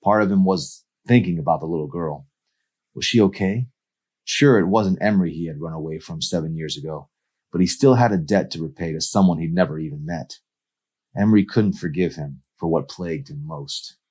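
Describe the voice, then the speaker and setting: A man reading his own novel aloud as an author, in an expressive audiobook-style narration. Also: low voice, fairly quick, breathy edge.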